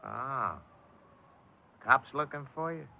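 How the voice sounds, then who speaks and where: An actor playing a slick small-time crook, wisecracking and needling with streetwise mockery, in 1950s radio drama style. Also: male voice, medium pitch, slow pace, creaky, a little hiss or hum. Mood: mocking, probing. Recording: muffled 1950s radio recording.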